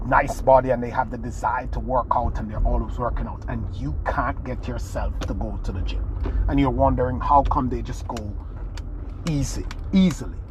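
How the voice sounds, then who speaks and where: A man's voice: medium pitch, noisy background. A man from Jamaica, giving an earnest motivational talk as a podcast host, restarting phrases and leaning hard on key words. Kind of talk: motivational monologue.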